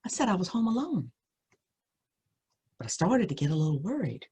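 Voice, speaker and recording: A woman reading a spooky first-person story aloud, with suspenseful, deliberate phrasing and dramatic emphasis. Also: lowish voice, sing-song, warm tone, husky.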